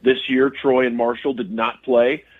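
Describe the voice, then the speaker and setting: A man's voice: mid-range voice, little pitch movement, unhurried, husky. A male sports broadcaster calls in as a guest, his voice thin and narrow the way a phone line makes it. He talks in a middle register and picks teams apart analytically. He often stops and restarts his sentences. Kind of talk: casual sports talk interview.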